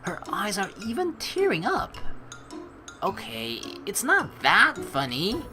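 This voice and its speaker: Female let's play streamer, lively pitch swings, casual playful reading and reacting over faint music. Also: slow pace. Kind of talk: gaming commentary mixed with reading game narration aloud. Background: game music.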